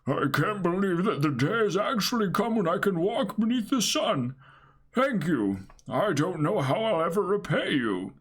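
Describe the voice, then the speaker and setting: A man voicing a humble, grateful, injured old man from a comic, with halting, husky speech. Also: high-pitched, very animated, exaggerated voice.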